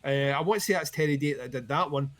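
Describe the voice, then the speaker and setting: A man's voice: fairly high pitch, fast talker. Rambling, swearing man chatting about metal. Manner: rambling, many fillers and restarts, swears freely. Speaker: co-host. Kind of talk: casual chat about metal albums.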